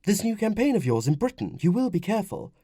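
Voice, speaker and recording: A man voicing a young Roman mother in an audiobook, firm, fretful and gently insistent. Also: sits high, very animated, fast talker, husky, no room sound, studio quality, pitched above the performer's own voice, exaggerated voice. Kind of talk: audiobook character dialogue.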